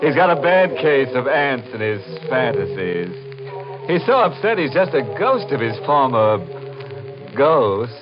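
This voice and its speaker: Ghoulish male horror-radio host: macabre, theatrical, very varied pitch, old muffled broadcast. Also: sing-song, unhurried. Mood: macabre glee. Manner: macabre, mock-solemn, theatrical. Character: ghoulish, punning male host of a creaking-door horror show. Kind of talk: 1950s radio horror acting. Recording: band-limited old radio broadcast, music behind.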